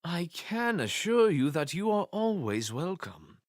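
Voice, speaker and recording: A man playing a gracious, courtly elf patriarch in an audio drama, with smooth, drawn-out phrasing. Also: high-pitched, very animated, no room sound, studio quality. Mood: gracious, assuring. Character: gracious, ambitious elf patriarch and spymaster mage.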